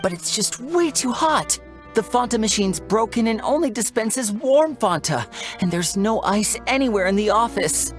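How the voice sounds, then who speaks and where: A woman's voice: a little high, expressive, moderate pace, lightly acted. A woman playing a playful young stage magician in a video game, charming, teasing and showy in delivery. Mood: teasing, animated. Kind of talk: scripted video game character dialogue. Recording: music behind the voice.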